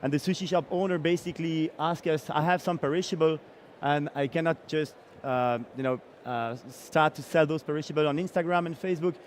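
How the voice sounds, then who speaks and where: Founder, middle-aged man from Belgium, a bit higher-pitched, hesitant pitch full of "uh"s. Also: fairly quick, few pauses, a little hiss or hum. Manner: hesitant, many fillers and restarts. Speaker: startup founder. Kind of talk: startup pitch presentation.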